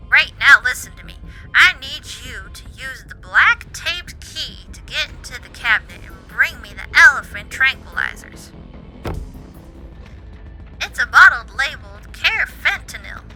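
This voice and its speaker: Woman acting a loud, bossy woman doctor. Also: sits high, sing-song, a little slow, exaggerated voice, rough recording. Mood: exasperated, commanding. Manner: loud, commanding, exasperated. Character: brash, bossy older woman doctor, exasperated mother. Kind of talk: amateur game character voice. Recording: music behind the voice.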